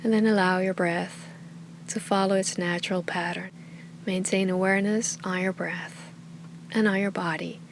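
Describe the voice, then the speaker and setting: Soft, calm woman guiding meditation, slightly noisy recording. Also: fairly low pitch. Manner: calm, soothing, unhurried, often trailing into breathy softness. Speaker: yoga and meditation teacher. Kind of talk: guided meditation, soft slow instruction.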